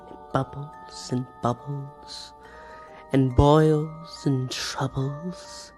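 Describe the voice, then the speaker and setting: Slow theatrical game master narration. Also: male voice, medium pitch. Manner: slow, theatrical storytelling with hushed whispers. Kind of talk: dramatic scripted narration for a tabletop game. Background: background music.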